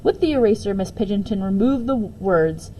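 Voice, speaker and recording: A woman reading a children's storybook aloud with expressive storytelling, as a teacher would. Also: mid-range voice, a little fast, slight background noise, low-quality recording.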